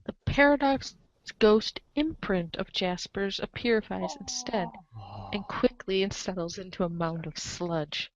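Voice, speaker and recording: A woman narrating a comic story aloud in an expressive read-aloud style, on a slightly dull recording. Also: mid-range voice, a little slow. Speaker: narrator of a group webcomic reading.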